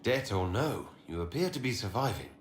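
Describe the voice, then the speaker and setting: A sincere fantasy game hero leader, direct, expressive low voice, echoey. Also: male voice, moderate pace. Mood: concerned. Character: sincere, steady male leader of a fantasy order.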